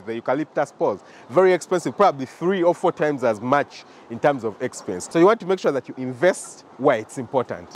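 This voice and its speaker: A young Ugandan man films himself close to a handheld camera as he walks his chicken farm, his voice sitting a little high for a man. He explains things patiently and talks straight to his viewers. Faint outdoor ambience hums under him. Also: a little mellow, a little hiss or hum. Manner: explanatory, repeats points, addresses viewers directly. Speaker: farm owner giving a tour. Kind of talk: walking farm tour vlog. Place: outdoors at a poultry farm and inside a chicken house. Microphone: handheld camera close to the face.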